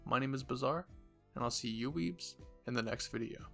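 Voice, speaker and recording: A man's voice: mid-range voice, moderate pace, creaky, bright, forceful tone, faint music behind. A man narrating a scripted second-person story as the host of a roleplay channel.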